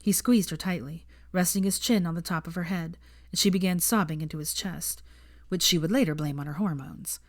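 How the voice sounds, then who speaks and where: A woman reading fan fiction aloud as the narrator, in a steady storytelling manner. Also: on the low side, brisk, dry recording, studio quality. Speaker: fanfiction narrator. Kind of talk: scripted narration.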